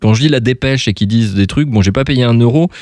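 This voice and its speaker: A man interviewing on radio in an informal, halting, chatty way. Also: medium pitch, a little mellow, no room sound. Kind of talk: casual interview chat.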